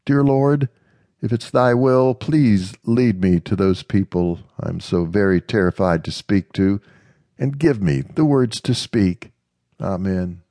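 A man voicing a devout, timid young woman who speaks softly and hesitantly. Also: fairly low pitch, slow pace, no room sound, studio quality, pitched above the performer's own voice. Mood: prayerful. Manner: hesitant, soft-spoken.

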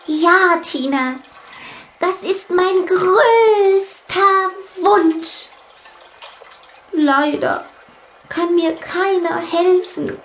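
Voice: very high voice, drawn-out words, pauses often, fairly bright tone, voice pushed up, full character voice. A narrator voicing an eager little female ladybug child in a children's audiobook. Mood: wistful.

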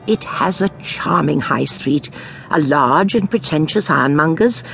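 An actress playing a shrewd elderly English amateur sleuth in an audio drama, measured, precise and gently probing. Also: female voice, low-pitched, lively intonation, gravelly, a little hiss or hum, heavily acted. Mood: wry, measured. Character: shrewd elderly amateur sleuth Jane, calmly inquisitive narrator. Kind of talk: dramatised audiobook acting and narration.

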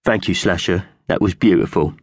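A man's voice: deep voice, normal speed, husky, dry recording, studio quality. A man voicing a sleek, cruel magpie gang boss in a children's storybook, solemn, commanding and hard-edged. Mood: quiet, commanding.

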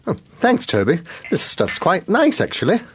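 A man's voice: high-pitched, wildly swooping pitch, normal speed, a little hiss or hum. An actor playing a polite, eager and slightly anxious male TV producer in a radio comedy, hesitant and fawning. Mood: polite, curious. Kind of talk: radio comedy drama acting.

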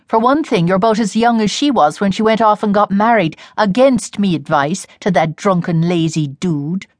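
A woman voicing a gruff, suspicious old grandmother who drawls in a slow, folksy way. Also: mid-range voice, no room sound, studio quality, close to an everyday voice.

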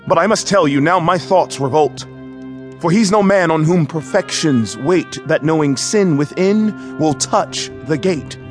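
An actor playing a bold, eloquent young prince in a Shakespearean audio drama, speaking in an earnest, declamatory way. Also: male voice, fairly high pitch, animated, unhurried, soft background music. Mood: disillusioned.

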